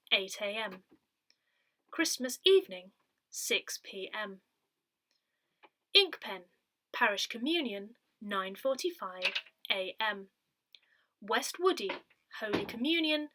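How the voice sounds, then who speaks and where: A British woman reading a newsletter and its notices aloud in a measured, clearly phrased way. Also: medium pitch, pushed and bright. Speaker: volunteer reader of an audio parish newsletter.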